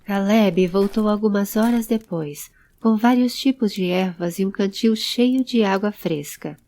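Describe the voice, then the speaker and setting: A woman's voice: medium pitch, measured pace, dark tone. A woman narrating a scripted audio drama. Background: background music.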